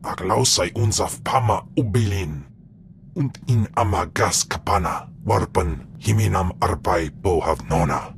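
An actor playing a grave alien in a video game, delivering invented speech in a solemn manner. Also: male voice, deep voice, a little slow, slight background noise. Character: grave male alien speaking an invented tongue. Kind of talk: video game creature dialogue.

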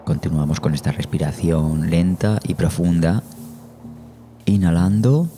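A man narrating a guided meditation in Spanish as the guide, slowly and soothingly, with measured phrases and long pauses. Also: deep voice, warm tone. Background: meditation music.

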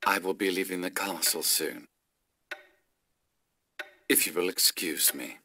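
A man playing a cool, secretive advisor in a video game, cryptic and smoothly polite. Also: sits low, steady tone, a little slow, sharp tone, slight background noise, exaggerated voice. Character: enigmatic, scheming male advisor, cool and secretive. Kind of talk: video game cutscene acting.

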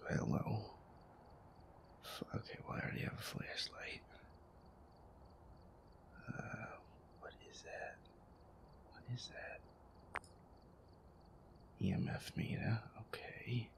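Man playing a low, gravelly, grumpy boyfriend. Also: very animated, slow pace, long pauses, whispered, exaggerated voice. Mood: grumbling, uneasy. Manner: exasperated, sweary grumbling, reading game text aloud. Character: feisty werewolf boyfriend, grumpy, sweary, easily scared gamer. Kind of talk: audio roleplay, playing a horror game.

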